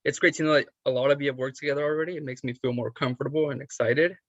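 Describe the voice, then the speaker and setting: A man's voice: medium pitch, steady tone, racing, no room sound. A young man from Arizona, an actor, casually introducing himself to a group. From Arizona, USA. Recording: dull, band-limited call audio.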